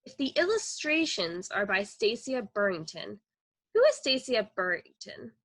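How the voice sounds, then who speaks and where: A young woman co-hosting a children's read-aloud on a video call, teaching with enthusiastic questions in an animated way. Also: medium pitch, unhurried.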